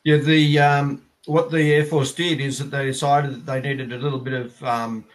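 A man's voice: medium pitch, level tone, moderate pace. An Australian man in his fifties giving conversational explanations as an interview guest on a video call. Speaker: interviewee, air traffic controller. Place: plain indoor room, video call.

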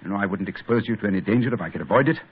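An actor playing a brisk, commanding male detective, in a 1940s radio drama. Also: lowish voice, lively intonation. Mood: urgent. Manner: crisp, clipped and authoritative. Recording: muffled old radio broadcast with faint hiss.

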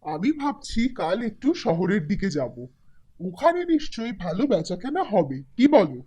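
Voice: medium pitch, sing-song, normal speed, a little mellow, husky. An actor voicing a poor, naive, aging village peanut seller in a cartoon, in a simple, folksy, bashful manner. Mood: hopeful, weary. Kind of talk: Bengali cartoon voice acting.

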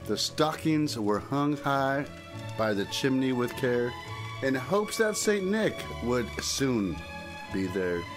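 A man's voice: medium pitch, big pitch swings, slow pace, music in the background. A man giving a loose, comedic storybook reading, bumbling and easily sidetracked, with theatrical expression and muttered asides. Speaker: comic reader of a Christmas poem.

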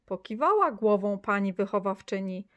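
A woman reading a children's story aloud, lively, with the children's lines in brighter, higher voices. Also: normal speed.

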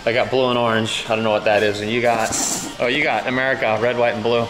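A middle-aged man hosts a casual vlog with a playful, joking manner. His voice sits at an average pitch. He talks to a camera at arm's length, with music clearly behind him. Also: level tone, fast talker, low-quality recording. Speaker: vlog host. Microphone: action camera at arm's length.

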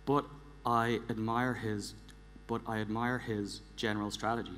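A man speaks from a big stage with long hall reverb and a clear background hum. His voice sits fairly low, and he delivers a prepared presentation deliberately, repeating words for emphasis. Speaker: conference speaker, engineering director. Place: large theatre stage, indoors.

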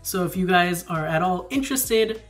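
A man's voice: sits high, expressive, moderate pace, faint music behind. A young man chatting casually to his viewers in a travel vlog, giggly and direct, filmed at home by a window. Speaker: vlogger.

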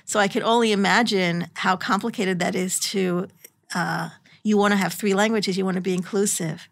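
A woman's voice: mid-range voice, fairly even intonation, moderate pace, gravelly. A middle-aged woman hosting a podcast, thoughtful and often restarting her sentences, in a studio. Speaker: podcast host. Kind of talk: interview conversation. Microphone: studio mic, headphones.

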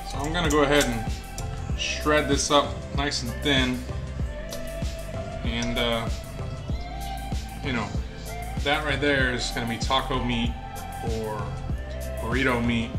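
A man in his thirties talking through a home cooking vlog in a relaxed, jokey way, filmed in a large kitchen by a camera across the room. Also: mid-range voice, slow pace, pauses often, distant mic. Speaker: cooking vlogger. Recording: roomy kitchen sound from the camera, music behind. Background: background music.

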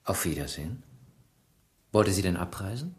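An actor in a German radio play, playing a calm police inspector who asks questions in a polite, measured way. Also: male voice, low voice. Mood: probing.

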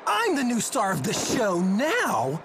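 An actor playing a boastful, hot-headed young attendant in a video game, theatrical and blustering, a bit of a bungler. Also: male voice, high voice, wildly swooping pitch, slow pace, background noise, heavily acted. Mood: smug, taunting. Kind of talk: animated game voice acting.

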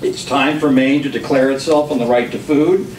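Older man, formal deliberate delivery, public testimony, echoey room, distant microphone. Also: medium pitch, steady tone, a little mellow. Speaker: member of the public testifying at a hearing. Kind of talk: prepared speech read aloud. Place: government committee hearing room. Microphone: camera across the room. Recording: echoey room, distant mic, faint noise.

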